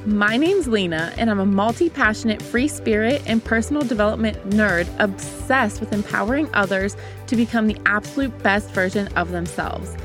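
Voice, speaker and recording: A woman's voice: mid-range voice, expressive, normal speed, over music. A woman in her twenties, raised in America after a childhood in Bulgaria, hosting her own podcast in an upbeat, chatty way and calling the listener babe. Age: 20s. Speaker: podcast host. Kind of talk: casual solo podcast talk.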